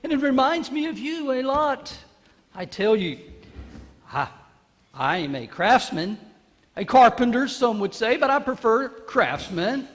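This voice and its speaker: Casting: male actor as boastful, excitable ancient carpenter for stage monologue; very animated, emphatic, halting delivery, long hall reverb. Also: extremely high pitch, full character voice. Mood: reflective, proud. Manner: emphatic, halting, repeats phrases, addresses listeners directly. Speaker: monologue performer. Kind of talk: theatrical church monologue.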